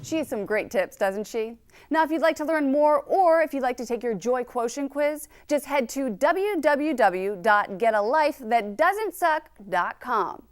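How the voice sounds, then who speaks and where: A woman's voice: sits high, expressive, measured pace, smooth. A woman hosting a local news show, reading scripted narration in an upbeat, chatty way.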